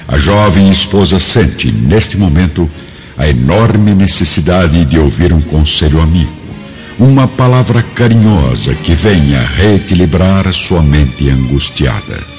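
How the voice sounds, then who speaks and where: A man's voice: low voice, big pitch swings, measured pace. A man narrating a radio drama from a script in a dramatic storytelling style. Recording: dull lo-fi audio with music behind.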